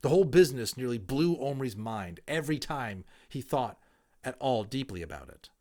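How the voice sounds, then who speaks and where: A man reading a children's novel aloud in a theatrical, emphatic manner, with deliberate pauses. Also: mid-range voice, animated, a little mellow. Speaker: amateur audiobook reader.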